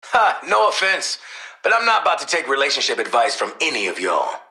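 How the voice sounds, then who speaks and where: Video game assassin: American, cynical and cocky, wry street slang, lively pitch swings, roomy sound. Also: male voice, a little fast, exaggerated voice. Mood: irritated. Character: cynical, cocky male assassin and protective father. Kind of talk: video game character voice lines.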